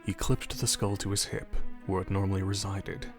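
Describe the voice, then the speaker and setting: A man narrates an audio drama in a calm, steady voice that sits a little low. Faint music plays quietly behind him. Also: moderate pace.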